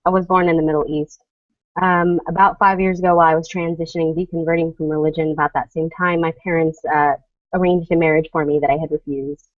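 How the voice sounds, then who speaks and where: A woman from the United States, a podcast guest, giving a steady, earnest account of her past. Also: low-pitched, quick pace, no echo, recorded from a distance, narrow, tinny audio. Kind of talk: casual podcast interview.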